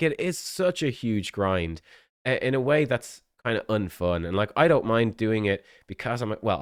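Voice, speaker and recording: A man's voice: on the low side, smooth, no room sound, clean studio sound. Sick, sniffly man chatting casually about a game. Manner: chatty, full of fillers and restarts. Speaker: gaming content creator.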